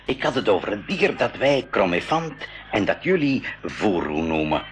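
An actor playing an eager, talkative man on a jungle expedition in a 1980s radio drama, with a lively, explanatory manner. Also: lowish voice, moderate pace. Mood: eager, polite. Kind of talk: 1980s Dutch-language school radio drama acting.

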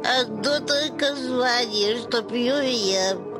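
A woman's voice: a little high, takes it slowly. An actress playing a stubborn, whining old petitioner woman in a radio play, folksy and repetitive, wheedling and scolding. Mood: wheedling, plaintive. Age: older. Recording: music behind the voice.

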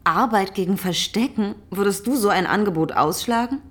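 An actress playing a determined farm woman in a radio play, decisive and urging, in short sentences with a husky, breathy voice. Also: medium pitch, normal speed, a bit bright, close to an everyday voice. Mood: persuading. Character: determined, urgent farm woman planning secret escape. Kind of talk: German radio play acting.

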